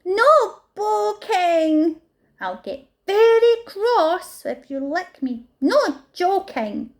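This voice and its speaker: A tiny fluffy baby elephant who has no idea what it is, wailing its questions in a high, wobbly squeak, as a woman puts it on. The voice leaps and dips all over and takes its time. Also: wildly swooping pitch, slow, darkish tone, full character voice. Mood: cross. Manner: high squeaky sing-song puzzlement. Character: small baby elephant covered in fluff, confused.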